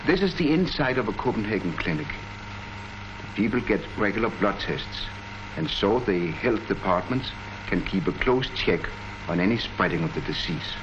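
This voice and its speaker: An actor playing an earnest army colonel who presents public health facts in a declamatory, rhetorical way, in the style of a 1940s education film. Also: male voice, fairly low pitch, very animated, normal speed. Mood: earnest, explanatory. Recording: muffled, noisy 1940s film soundtrack.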